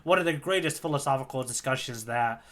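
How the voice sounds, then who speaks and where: A man's voice: medium pitch, quick pace, fairly bright tone. A man co-hosting a podcast, telling a story in a casual, rambling, jokey way. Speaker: podcast co-host. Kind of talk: casual chat recapping a manga chapter.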